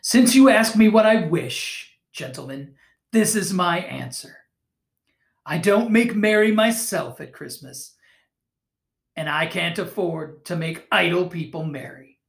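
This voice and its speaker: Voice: mid-range voice, lively intonation, measured pace, creaky, echoey room. A reader voicing a bitter, miserly old man who answers with curt scorn, read from a storybook. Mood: scornful.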